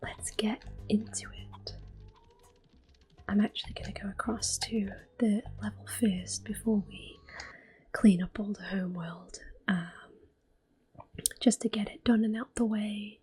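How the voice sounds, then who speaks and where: A woman whispering soft-spoken commentary while she plays a video game for an ASMR audience, close to the microphone. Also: medium pitch, steady tone, measured pace, husky. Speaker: ASMR gaming host.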